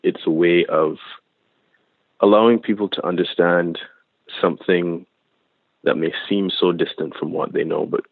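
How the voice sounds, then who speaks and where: Deep-voiced man on a tinny phone line. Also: fairly even intonation, measured pace, creaky, pauses often, no room sound. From Barbados. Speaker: filmmaker guest. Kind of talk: reflective interview answers.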